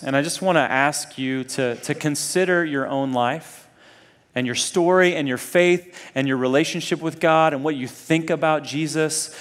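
A man preaching a sermon to students in a conversational, earnest way, often putting rhetorical questions to his listeners. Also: medium pitch. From Zambia and Kenya. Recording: long hall reverb.

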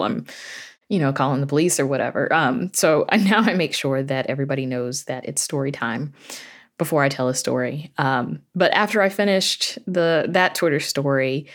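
A woman chatting informally as an interview guest, telling anecdotes in a conversational way with quoted asides. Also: deep voice. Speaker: podcast guest, horror fiction writer and audio drama creator.

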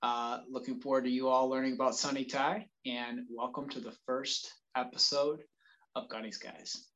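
A man delivers the opening words of a new podcast at an ordinary pitch. He sounds earnest and a bit sheepish, letting his voice drop away at the ends of thoughts. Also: level tone, normal speed, rough recording. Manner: earnest, sheepish, trailing off quieter. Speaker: podcast host. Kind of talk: recorded intro monologue.

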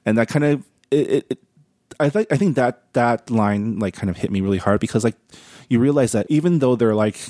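A man's voice: medium pitch, brisk, warm tone, dry recording, clean studio sound. A man co-hosting a podcast, talking casually and filling his sentences with "like". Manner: casual, heavy use of "like". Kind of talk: casual conversation.

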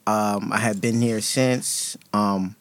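A man's voice: on the low side, steady tone, slow pace, no echo. A man, a guest on a podcast. He tells his story in a loose, profane way and laughs often. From New York, USA. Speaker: guest rapper.

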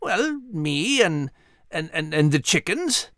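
A woman's voice: sits low, sing-song, slow pace, a bit bright, no room sound, studio quality, exaggerated voice. A woman playing a prim, intellectual female cat with a French accent in a children's audio story, choosing her words carefully. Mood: diplomatic. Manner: prim, hesitant, carefully worded.

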